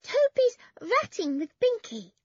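A woman voicing a sweet five-year-old farm boy in a children's storybook, with a childish piping voice and simple, drawn-out words. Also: very high-pitched, huge pitch swings, no room sound, highs cut off, pitched above the performer's own voice, full character voice. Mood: bright, helpful.

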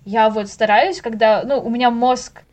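A young woman chatting casually as a podcast guest, telling things in slangy, animated speech with the odd English word. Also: a little high, moderate pace. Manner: animated storyteller, acts out little inner dialogues; slangy, drops in English words.

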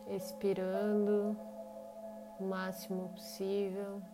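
A woman with a Brazilian accent leads a guided meditation in short, unhurried phrases separated by long pauses. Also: medium pitch, monotone. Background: meditation music with singing bowls.

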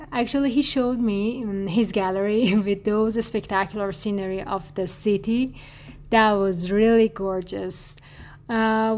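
A woman from Iran with an Iranian accent, a native Farsi speaker, answering interview questions in halting English and searching for words. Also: mid-range voice, a little mellow. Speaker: guest artist.

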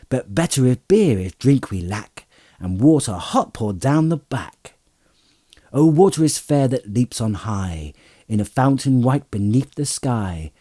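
An excitable, merry young hobbit lad whose voice jumps high as a male narrator puts him on. Underneath, the voice is dark and husky, and it leaps about in pitch. It is recorded close and dry. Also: dry recording, exaggerated voice. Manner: high exclamations, sings a bath song.

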